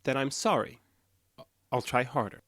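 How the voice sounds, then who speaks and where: A restrained, dry-recorded, detached boyfriend. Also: male voice, medium pitch, normal speed, no room sound. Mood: confused, hesitant. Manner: flat, hesitant, literal, restrained. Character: calm, emotionally detached man, awkward and earnest boyfriend. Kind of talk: naturalistic audio drama acting.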